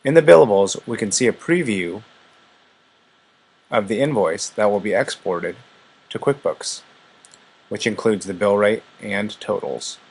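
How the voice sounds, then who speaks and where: A man presents a scripted software demonstration. His voice sits fairly low and has a noticeably husky, unpolished edge. He narrates as a product walkthrough presenter. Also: unhurried, pauses often, low-quality recording.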